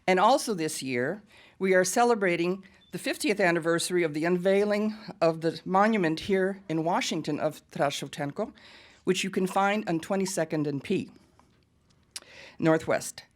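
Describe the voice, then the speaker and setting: Casting: American woman with a lowish voice, emphatic podium speaker. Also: moderate pace. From United States. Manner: earnest and emphatic, with pointed asides. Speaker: guest speaker at a press event. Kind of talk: prepared speech at a podium. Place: press club hall.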